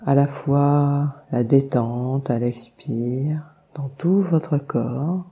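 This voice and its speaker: A woman leading a guided meditation, giving calm, soothing instructions with long unhurried pauses. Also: a bass voice. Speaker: yoga nidra meditation guide.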